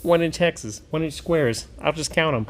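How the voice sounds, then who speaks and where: A man's voice: on the high side, normal speed, background noise. A man hosting a casual hands-on tabletop gaming product review, talking conversationally and thinking aloud as he goes.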